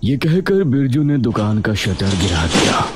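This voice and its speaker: A man's voice: medium pitch, very animated, a little slow, breathy edge. A man narrating a scripted horror story as the storyteller.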